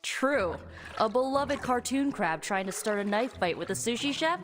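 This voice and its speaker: Girl voicing young sorceress in a game, breezy n wry, chatty, really animated pitch, music behind. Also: moderate pace, close to an everyday voice. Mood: sheepish, friendly. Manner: breezy, conversational, playful. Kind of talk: video game cutscene acting.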